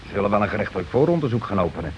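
A scheming working-class landlord, blustering and insistent, with a very animated pitch. He keeps repeating himself in colloquial bursts, in radio drama style. The old recording is dull, with some background noise. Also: racing. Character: scheming, domineering, self-justifying working-class husband and landlord. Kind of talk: Dutch radio play acting.

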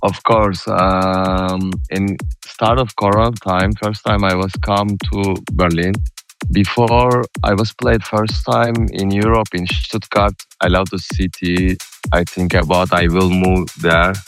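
A man from Turkey with a Turkish accent, answering casually and haltingly in non-native English as a DJ guest in a radio interview. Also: low-pitched, flat delivery, faint music behind. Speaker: guest DJ and music producer.

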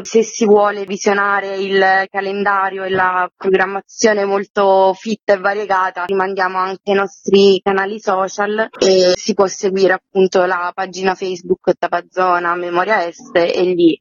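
A woman giving articulate, measured, formally worded explanations as an interviewed organiser. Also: mid-range voice, dry recording. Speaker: festival organiser being interviewed. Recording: dry but muffled lo-fi audio.

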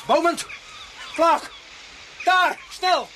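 An actor playing a gruff, sarcastic local police sergeant in a radio drama, with a dry, terse manner. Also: male voice, very high voice, extremely slow, pushed and bright, slight background noise, full character voice. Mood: urgent shouting. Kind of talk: Dutch radio drama acting.

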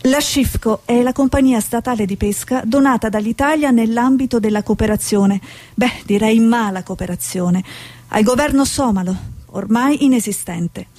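A haunted investigative reporter in a radio play, acted by a woman. Her voice sits fairly high and is noticeably breathy. She speaks as someone searching and driven, with theatrical intent. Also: a little mellow, no echo, lightly acted. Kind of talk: dramatic stage monologue and dialogue.

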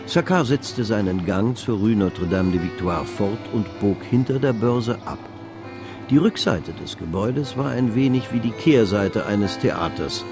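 An actor narrating a literary radio play as a measured, all-knowing storyteller of an old stock exchange tale. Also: male voice, fairly low pitch, expressive. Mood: measured, vivid. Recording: music behind the voice. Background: music.

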